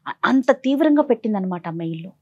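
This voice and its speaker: A woman's voice: on the high side, expressive, quick pace, dull audio, crowd noise. A woman hosting a counselling talk show in speech, polite and mixing in English words. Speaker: TV programme host.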